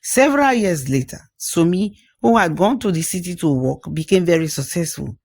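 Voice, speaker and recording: A storyteller narrating a folktale in an expressive way, with interjections and repeated phrases. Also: medium pitch, moderate pace, slightly rough, no room sound. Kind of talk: spoken folktale narration with acted dialogue.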